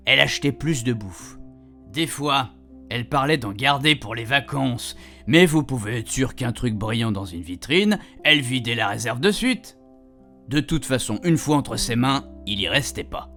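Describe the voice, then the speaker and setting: Man as old grandpa, cheeky, chatty, colloquial rambling storyteller, radio fiction, mid pitch, music underneath. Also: animated, moderate pace, bright, forceful tone. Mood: teasing, fond. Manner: rambling, colloquial, repeatedly checking his puns landed. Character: chatty retired grandpa, cheeky, self-amused, homespun storyteller.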